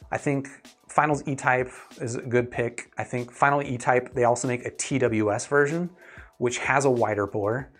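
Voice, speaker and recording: A man giving gear opinions as a reviewer and host, chatting casually and thinking aloud as he answers viewers. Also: medium pitch. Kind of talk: casual livestream chat answering viewer questions.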